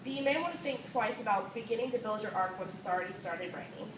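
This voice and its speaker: A woman's voice: medium pitch, fast talker. A woman delivering a prepared informative speech in a structured, earnest way, recorded on a phone from some distance in a room. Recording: roomy, distant phone recording with clear noise.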